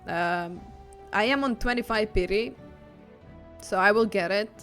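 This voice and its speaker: A woman's voice: medium pitch, a little slow, fairly bright tone. A woman doing casual live commentary as a game streamer, offhand, with muttered asides and teasing complaints. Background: game music.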